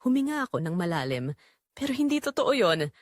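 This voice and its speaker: Woman narrating a dramatic first person story, pitch moves a lot, dry recording. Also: big pitch swings, a little fast, no room sound.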